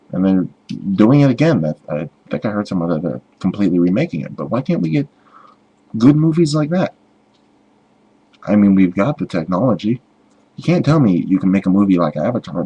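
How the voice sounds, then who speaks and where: Man, video blogger, low pitch, husky rough voice, casual rambling rant, halting delivery, sarcastic. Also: mellow, dull audio. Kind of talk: casual opinion rant to camera.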